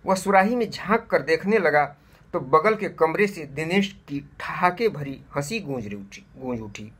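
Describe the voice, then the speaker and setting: A man reading a scripted moral story for children in a measured, deliberate way. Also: fairly high pitch, expressive, a bit bright, husky.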